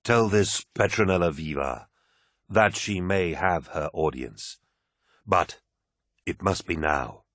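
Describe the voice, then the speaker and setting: A man voicing a commanding, brooding, proud warlord in an audiobook, with a grave, imperious, husky delivery. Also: sits low, slow, a bit bright, no room sound, clean studio sound, heavily acted.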